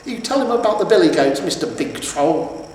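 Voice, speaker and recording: A man puts on a huge storybook troll wife, high-pitched and full of scorn. She nags and sneers from some distance off the mic, her words ringing in heavy echo. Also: sing-song, moderate pace, darkish tone. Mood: bellowing, nagging. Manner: scolding, sneering. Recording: echoey reverberant room, distant mic, faint noise.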